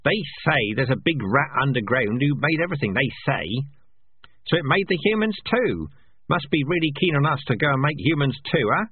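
A man's voice: a little high, animated, a little fast, slightly rough, dry recording, voice pushed up. A man voicing a skeptical, grumbling male rat who mutters his doubts. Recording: dry, tinny phone-like sound.

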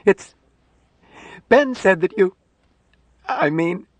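Man doing a high, distraught young nurse. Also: very animated. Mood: upset protest. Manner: pleading, halting, shrill when upset. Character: young woman nurse, distraught, timid but insistent.

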